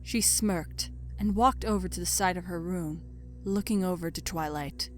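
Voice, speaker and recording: A woman's voice: on the low side, normal speed. A woman narrating a dramatic story from a script. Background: music.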